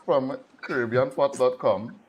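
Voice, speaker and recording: A man's voice: medium pitch, measured pace. A man hosting a casual panel, laughing easily and repeating himself. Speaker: host. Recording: dull lo-fi call audio with faint noise.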